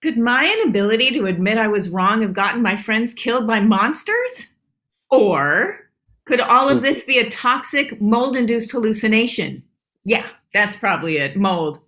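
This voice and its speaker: A self-assured cartoon lady dog who takes charge. Her voice is fairly high and bright, with a brisk, falsely soothing manner. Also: very animated. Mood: self-deluding. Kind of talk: animated comedy table read.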